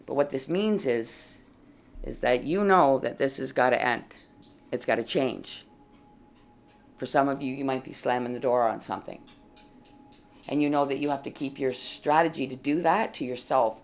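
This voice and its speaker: A tarot reader talks through a spread in a casual, blunt way and swears now and then. The voice sits at a middling pitch and is dry and froggy, with a heavy gravelly fry. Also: moderate pace. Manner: blunt and plain-spoken, swears freely. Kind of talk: casual tarot reading to camera.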